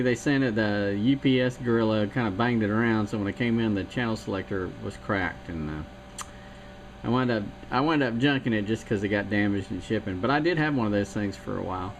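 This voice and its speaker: A man's voice: mid-range voice, slight background noise, rough recording. A man from South Carolina chatting casually on CB radio, rambling with fillers about old radios and the weather. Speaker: CB radio operator.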